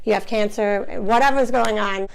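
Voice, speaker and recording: A woman hosting her own channel, talking to camera in a casual, chatty way with lots of "you know" fillers, her voice hoarse. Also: mid-range voice, normal speed, low-quality recording. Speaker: vlogger, channel host.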